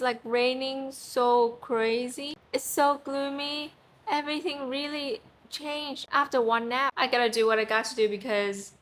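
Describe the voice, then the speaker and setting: A young woman with a Vietnamese accent, excitably teaching travel phrases in a casual vlog style and repeating them for practice. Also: fairly high pitch. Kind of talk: casual chatty lesson. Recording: roomy echo.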